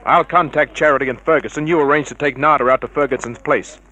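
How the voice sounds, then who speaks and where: An actor playing a tough, cynical private investigator in a 1950s radio crime drama, clipped and hard-boiled. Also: male voice, a little high, fast talker, bright, forceful tone, rough recording. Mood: matter-of-fact. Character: tough, cynical male private investigator narrating his case.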